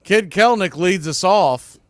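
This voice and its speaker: A man doing live baseball radio play-by-play in short, clipped phrases, rising to excitement when the action calls for it. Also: high voice, a little slow, no echo, studio quality. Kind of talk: live sports radio commentary.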